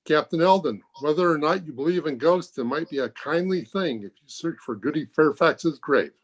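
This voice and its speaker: Casting: actor as grave man recounting ghost lore, somewhat high male voice, radio-play storytelling. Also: animated, moderate pace, highs cut off. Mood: grave, cautioning. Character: solemn man recounting an old ghost legend. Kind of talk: old-time radio drama acting.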